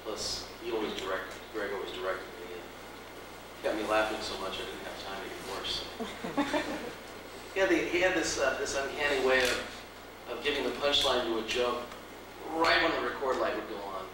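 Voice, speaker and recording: A man in his thirties, born in Puerto Rico and raised in California, answering fan questions in a relaxed, halting way. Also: medium pitch. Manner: relaxed, halting, laughs easily. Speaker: voice actor on a convention panel. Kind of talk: casual panel chat. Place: large hotel function room. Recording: echoey room, distant camcorder mic, hiss.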